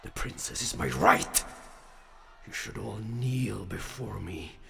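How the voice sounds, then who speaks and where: A man playing a bitter, proud war-veteran soldier in a dark fairytale, with a gravelly, seething, contemptuous delivery. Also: fairly low pitch, animated, takes it slowly, distant mic, exaggerated voice. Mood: seething contempt. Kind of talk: dramatic fairytale audio acting.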